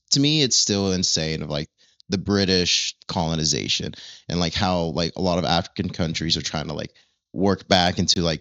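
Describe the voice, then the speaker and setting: A young man hosting a podcast, rambling through his questions and filling every pause with "like". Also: low-pitched, creaky, fairly bright tone, no room sound, highs cut off. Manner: rambling, fills with "like" constantly. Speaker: podcast host. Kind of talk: casual chat.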